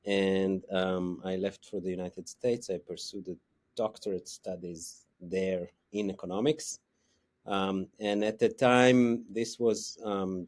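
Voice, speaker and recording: A middle-aged man with an Israeli accent, an economics professor answering interview questions haltingly, with many fillers and restarts. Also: low voice, darkish tone.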